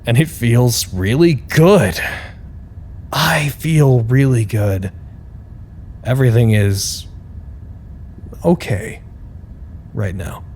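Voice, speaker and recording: A man's voice: medium pitch, very slow, long pauses, a little mellow, slight background noise. A man playing an earnest, candid site staffer in an audio drama, speaking a reflective and wryly formal log to unseen superiors. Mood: candid, hopeful.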